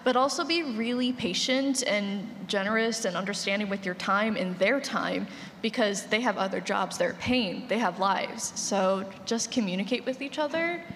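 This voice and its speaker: A woman's voice: mid-range voice, moderate pace, fairly bright tone. Direct woman speaking in an echoey hall. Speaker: panelist, podcast producer. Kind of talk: panel discussion advice. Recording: echoey noisy hall with room ambience.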